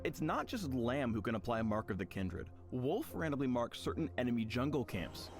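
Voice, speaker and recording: A man's voice: mid-range voice, sing-song, fairly quick. A man giving scripted, explanatory narration as the presenter of a video game spotlight. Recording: clear music bed behind.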